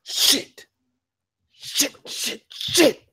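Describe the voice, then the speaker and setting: A man's voice: drawn-out words, pushed and bright, dry recording, heavily acted. A man playing a tough, protective getaway driver who talks in clipped street slang at a script read. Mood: panicked. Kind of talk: script table read acting.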